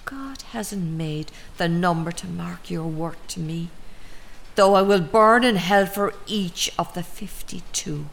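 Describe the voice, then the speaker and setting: An actress playing an anxious, prim middle-aged Irish mother with a secret, in an audio drama, speaking in a halting, deliberate way. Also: fairly low pitch, gravelly. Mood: bitter, resolute.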